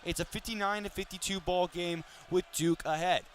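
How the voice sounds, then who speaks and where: A man's voice: high-pitched, steady tone, normal speed, a bit bright. A man in his twenties calling live basketball on the radio in a detailed, polished broadcaster's delivery. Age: 20s. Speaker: sports play-by-play announcer. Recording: live sports broadcast with arena noise. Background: basketball arena ambience.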